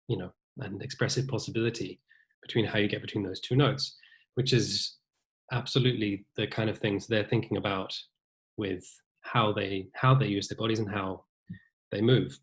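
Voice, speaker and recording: A man's voice: on the low side, poor audio. A young man from New Zealand with a British accent, talking thoughtfully as a violinist guest in an interview, often restarting his sentences. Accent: British English. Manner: thoughtful, many restarts and fillers. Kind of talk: casual interview conversation.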